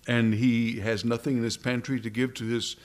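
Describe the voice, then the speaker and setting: Man preaching a halting, searching sermon. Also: mid-range voice, fairly even intonation. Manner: halting, repeats words while searching for phrasing.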